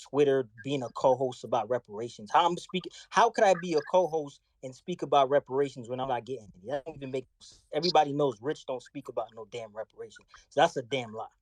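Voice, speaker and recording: A man's voice: mid-range voice, dry recording. A man with a New York accent, speaking bluntly and indignantly in a heated online audio debate, often repeating himself. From New York City. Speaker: guest speaker.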